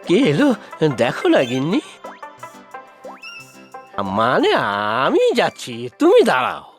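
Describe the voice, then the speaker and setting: A man's voice: fairly high pitch, wildly swooping pitch, slow pace, soft background music, heavily acted. A man voicing a hapless, placating henpecked Bengali husband in a cartoon, with a theatrical delivery. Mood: pleading, exasperated. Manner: pleading, exasperated, theatrical. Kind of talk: cartoon voice acting.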